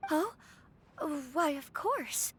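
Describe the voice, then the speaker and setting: A well-bred young lady from a video game. Her voice is high and very lively in pitch, gentle, courteous and expressive. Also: drawn-out words, a little hiss or hum, heavily acted. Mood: surprised, impressed. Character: polite, gentle young noblewoman. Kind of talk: scripted video game voice acting.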